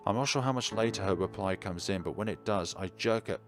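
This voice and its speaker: Man playing an anguished, low-voiced schoolboy. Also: brisk, over music, close to an everyday voice. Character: anguished, self-blaming teenage schoolboy, pleading and insecure.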